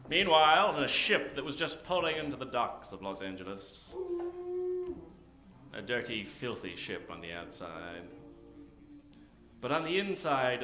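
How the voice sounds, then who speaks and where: A man narrating an improvised parody of old-time radio drama in a theatrical, melodramatic way. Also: medium pitch. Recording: roomy live stage sound.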